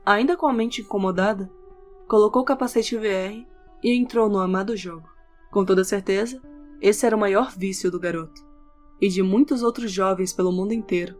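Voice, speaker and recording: A woman's voice: medium pitch, a little mellow. Woman narrating an audio novel over music. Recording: background music under the voice. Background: instrumental music with guitar.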